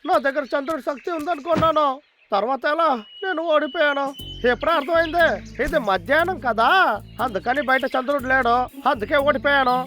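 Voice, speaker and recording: High-pitched, boastful cartoon schemer. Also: male voice, fairly quick, bright, forceful tone. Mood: dejected, reasoning. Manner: boastful, whiny, exclamatory. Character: scheming, gullible male woodcutter villain craving magic power. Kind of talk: Telugu cartoon dub acting. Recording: music under the voice.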